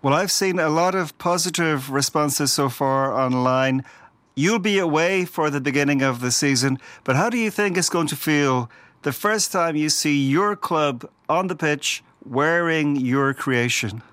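A man's voice: on the high side, unhurried, fairly bright tone. A man interviewing a guest for radio, asking short, polite questions.

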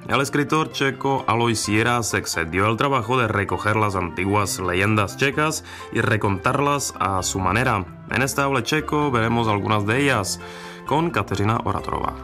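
A man presenting a scripted radio language lesson in a clear, didactic manner. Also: fairly low pitch, normal speed, faint music behind. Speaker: radio host.

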